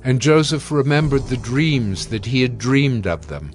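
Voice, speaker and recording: A man narrating scripture in an even, measured way, over faint music. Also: medium pitch.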